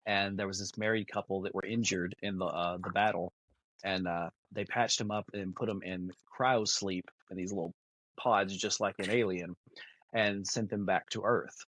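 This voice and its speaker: A man's voice: sits low, fairly even intonation, moderate pace, a bit bright, dry recording, rough recording. A man co-hosting a podcast, casually telling side anecdotes in a conversational way. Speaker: podcast co-host.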